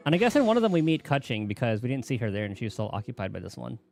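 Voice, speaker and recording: Male streamer, gravelly mid-range voice, lively pitch movement, casual halting game commentary. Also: over music. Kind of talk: casual live gameplay commentary.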